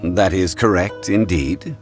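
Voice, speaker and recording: A man voicing a courteous, erudite gentleman in an anime game, with a formal, measured and understated way of speaking. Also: low voice, over music. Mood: calm, reasoned. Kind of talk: anime video game voice acting.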